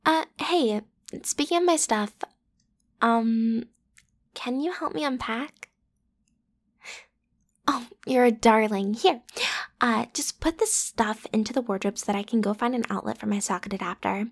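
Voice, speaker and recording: Woman acting as a high-voiced teasing popular schoolgirl. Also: unhurried, pauses often. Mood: friendly, teasing. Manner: playful, teasing, casual youthful phrasing with giggles. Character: popular teenage schoolgirl, teasing, breezy and pushy. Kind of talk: ASMR roleplay, one-sided conversational acting.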